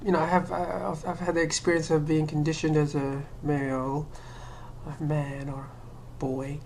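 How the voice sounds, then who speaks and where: A woman's voice: sits low, little pitch movement, normal speed, a little mellow, slight background noise. A woman from Sri Lanka talking to camera in a casual monologue, hesitant, with many fillers and restarts. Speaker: vlogger.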